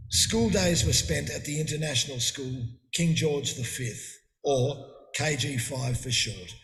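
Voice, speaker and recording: Man giving a eulogy in an echoey hall. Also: medium pitch. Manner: conversational, halting with fillers and restarts. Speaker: eulogy speaker. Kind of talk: funeral eulogy. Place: memorial hall. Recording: echoey hall with long reverb.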